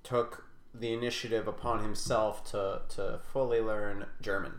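A man's voice: on the low side, little pitch movement, a little slow. Man chatting in a roomy echo. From Quebec. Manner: rambling, hesitant with fillers. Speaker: podcast co-host. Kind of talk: casual chat.

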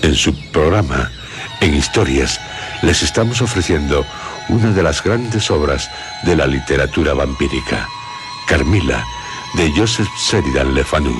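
A man with a Castilian Spanish accent hosting horror radio theatre, solemn and suspenseful, pausing between short phrases. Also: extremely low pitch, expressive. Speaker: radio drama host. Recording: loud music behind voice. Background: loud music.

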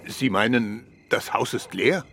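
Cautious police chief with a gravelly creak. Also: male voice, medium pitch, unhurried, a bit bright. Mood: dry, watchful. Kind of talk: German radio drama acting. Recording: studio radio play with clear background noise.

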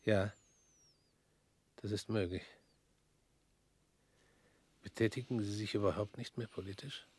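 An actor in a radio play voicing a weary former prosecutor, measured and probing, sometimes dropping to a near whisper. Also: male voice, on the low side, fairly even intonation, darkish tone, husky.

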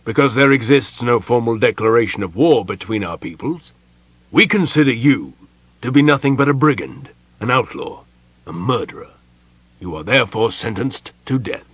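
A man's voice: medium pitch, slow, gravelly, few pauses, pushed and bright, dry recording, pitched above the performer's own voice, full character voice. A man voicing a stern, pompous elven general in a fantasy audiobook, barking his words in a commanding, portentous way.

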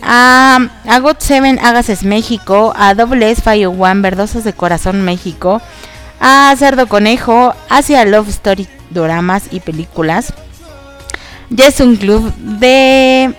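A woman hosting an online radio show, nasal and congested from allergies, chatting casually with many fillers and giggles. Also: on the high side. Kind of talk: casual radio chat about K-dramas.

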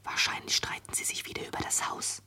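An actor playing a sober, disillusioned youth in a radio play, speaking in a guarded, matter-of-fact whisper. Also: male voice, brisk, bright, forceful tone. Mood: guarded, bitter. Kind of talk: 1970s German radio play acting.

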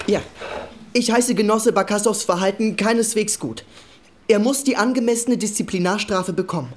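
A woman playing an earnest party comrade in a radio drama, arguing insistently and a little haltingly for fairness. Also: medium pitch, natural voice. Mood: polite, firm. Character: earnest young party comrade, shepherd's child, pleading for fairness. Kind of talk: German radio drama acting.

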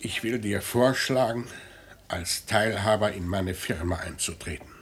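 An actor in a 1950s German radio play playing an ageing merchant father, lonely and calculating, with a measured, persuasive manner that can turn stern. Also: fairly low pitch, husky.

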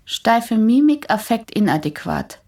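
Slow, sober woman doctor. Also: fairly high pitch, sing-song, a little mellow, distant mic. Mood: clinical. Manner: clinical, clipped dictation with deliberate pauses. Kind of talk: radio play acting.

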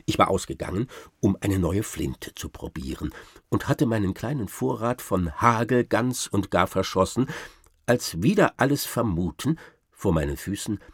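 Radio play raconteur: self-satisfied gentleman hunter, lowish voice with very animated, swooping delivery. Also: normal speed, husky, no room sound, studio quality. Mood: boastful, relishing. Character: boastful gentleman hunter spinning absurd tall tales. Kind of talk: theatrical first-person storytelling, German radio drama.

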